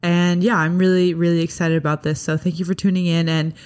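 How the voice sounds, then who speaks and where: A woman's voice: fairly low pitch, little pitch movement, brisk, darkish tone. A young woman hosting a podcast in a casual family chat, bubbly and chatty with lots of fillers. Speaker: podcast host.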